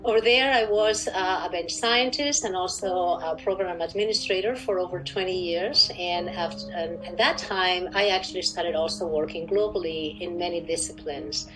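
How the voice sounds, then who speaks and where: A woman's voice: fairly low pitch, a bit bright, rough recording. Spanish-accented woman in her sixties over music. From Spain. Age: 60s. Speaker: interview guest, clinical research director. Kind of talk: formal interview answers.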